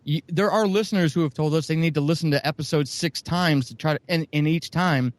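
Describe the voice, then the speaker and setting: A man's voice: on the high side, brisk, no room sound. A middle-aged man on a podcast, talking casually about his comedy audio drama, with many restarts and swearing. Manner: rambling with restarts, profane.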